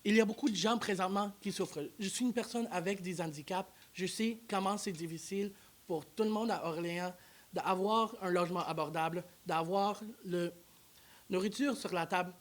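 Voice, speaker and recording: A man's voice: high-pitched, moderate pace. A man speaking as a candidate in a studio debate, earnest and repeating rallying lines. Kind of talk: campaign pitch in a debate.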